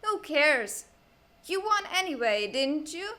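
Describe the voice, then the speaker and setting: A man's voice: extremely high pitch, very animated, slow, bright, forceful tone, full character voice. An actor playing an earnest, frustrated teenage boy at a military academy in a comic fan dub. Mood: cheeky, animated.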